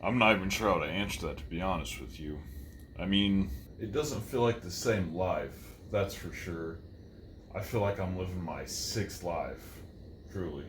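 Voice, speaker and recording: Man voicing a low, brooding undead metal reaper. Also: a little slow, a bit bright, slight background noise. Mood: earnest. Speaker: talk show guest. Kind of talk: interview answers.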